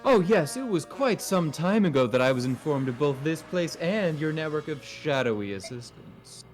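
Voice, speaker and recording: A man's voice: on the high side, lively intonation, soft background music, natural voice. A man voicing a smug, scheming nobleman villain in a video game, mockingly courteous and condescending. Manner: mockingly courteous, drawn-out and taunting.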